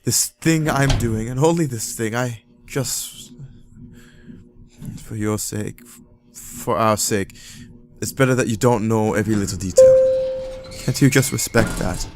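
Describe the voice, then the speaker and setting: A man playing a secretive, harried young jock in an audiobook drama, speaking in a halting, evasive but earnest way. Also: fairly low pitch, a little mellow, soft background music. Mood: earnest pleading. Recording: faint background noise.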